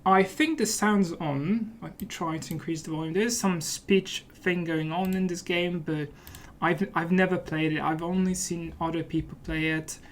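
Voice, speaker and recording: A man's voice: fairly high pitch, fairly even intonation, moderate pace, a little hiss or hum. A man talks casually through a game as he plays it. His delivery is playful and often mock-serious, in the style of a let's play host. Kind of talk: casual gameplay commentary.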